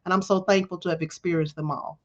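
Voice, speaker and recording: A woman's voice: on the low side, steady tone, fast talker, highs cut off. A woman in her forties, a college dean from Texas, giving reflective testimony in an interview with emphatic, preachy phrasing. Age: 40s.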